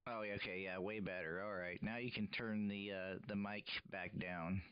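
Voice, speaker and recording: A man's voice: low-pitched, little pitch movement, normal speed, clean tone, dry recording, lo-fi sound. A man talking casually and patiently as he gives step-by-step technical instructions for radio gear. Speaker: radio operator helping a friend, live stream host. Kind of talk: casual technical troubleshooting talk.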